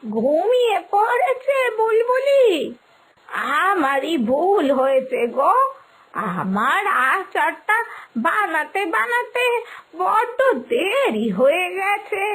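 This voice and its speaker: A woman voicing a kindly, wistful elderly village grandmother in an animated story, speaking in a gentle, pleading, drawn-out way. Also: very high voice, full character voice, rough recording. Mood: gentle, resigned. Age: older. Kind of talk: animated moral story dialogue.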